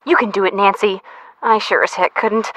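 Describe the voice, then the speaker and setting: A woman's voice: mid-range voice, fairly even intonation, normal speed, fairly bright tone, dry recording, lightly acted, rough recording. A woman playing a bubbly, teasing young sidekick in a video game, chatty and dramatic as she gives hints. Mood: perky, teasing. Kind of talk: video game hint dialogue.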